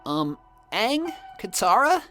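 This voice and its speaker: A woman playing a goofy, wisecracking teenage boy warrior in an animated comic dub. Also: medium pitch, slow pace, music in the background. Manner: jokey, swaggering.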